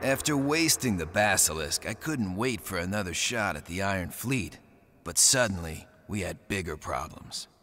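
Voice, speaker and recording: A swaggering pirate captain in a game cutscene. His voice is low and hard-edged, with a very lively melody. He sounds cocky, brash and bent on revenge. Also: moderate pace, slight background noise. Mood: vengeful. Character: cocky, vengeful young male pirate captain. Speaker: video game protagonist and narrator. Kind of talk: dramatic game cutscene acting and narration.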